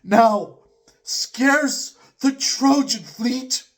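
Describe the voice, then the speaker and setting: Slow, theatrical man reciting epic verse. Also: very high voice. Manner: declamatory, theatrical, with drawn-out pauses between phrases. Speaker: poetry reader.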